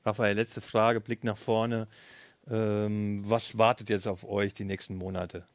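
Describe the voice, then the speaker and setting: A man's voice: on the low side, flat delivery, a little slow, no echo. A man hosting a live studio interview, asking questions and murmuring agreement while guests speak. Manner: frequent murmured backchannel. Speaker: livestream host.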